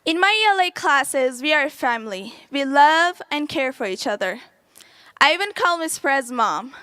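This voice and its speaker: A woman's voice: high-pitched, animated, normal speed, pushed and bright, no echo. A young woman whose first language is Farsi, giving an earnest prepared speech as a graduating student.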